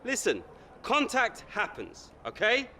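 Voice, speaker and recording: An arrogant young male racing driver from a video game. His fairly high voice swings widely as he argues with scorn and sarcasm. Clear vehicle and outdoor noise sits behind him. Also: huge pitch swings, slow, sharp tone, full character voice. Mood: defiant. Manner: sarcastic, dismissive. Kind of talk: video game cutscene acting.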